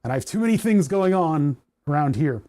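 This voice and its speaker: Chatty mellow-voiced man, voice going hoarse. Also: on the high side, lively intonation, brisk. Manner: rambling, chatty, laughs often, reads chat aloud. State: voice starting to go hoarse. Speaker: livestream host. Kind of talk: casual livestream chat while coding.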